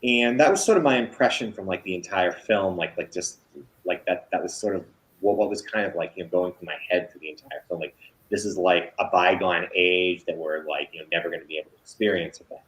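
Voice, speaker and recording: Man, very low voice, author guest, hesitant, lots of fillers, casual chat. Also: a bit bright, low-quality recording. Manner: halting, many fillers and restarts.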